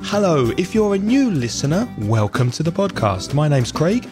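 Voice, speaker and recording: Male English-teacher podcast host, currently croaky and raspy, explains things plainly. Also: on the high side, big pitch swings, moderate pace, over music. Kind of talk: casual podcast chat.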